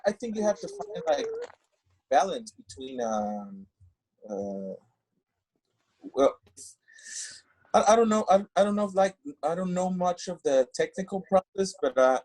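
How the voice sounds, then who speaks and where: Halting male singer. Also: fairly high pitch, very animated, a little mellow, poor audio. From Mexico. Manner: halting, searching for English words. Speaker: band singer, podcast guest. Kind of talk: casual interview chat.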